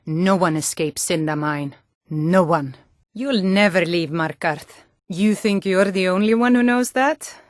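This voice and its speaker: A woman's voice: fairly low pitch, measured pace, dry recording, studio quality, lightly acted. A woman playing a hard, corrupt city guard in a fantasy game, speaking in a curt, threatening, authoritative way. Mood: menacing, scornful. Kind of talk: fantasy video game dialogue.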